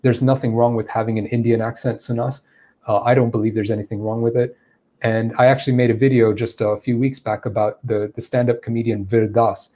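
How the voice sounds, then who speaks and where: Brief: male English teacher, mellow tone, explanatory, filler-heavy Q&A. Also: medium pitch, flat delivery, a little fast. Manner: explanatory, thinking aloud with fillers. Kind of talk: answering viewer questions in a lesson.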